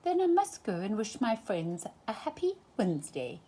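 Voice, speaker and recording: A woman voicing a gentle, honey-loving male bear in a children's story, with a soft, hesitant delivery. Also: mid-range voice, big pitch swings, moderate pace, slightly rough.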